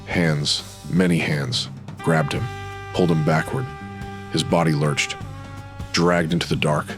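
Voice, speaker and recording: A man's voice: rumbling low, lively intonation, measured pace, creaky, over music. A man narrating scripted supernatural noir in brooding, clipped dramatic phrases.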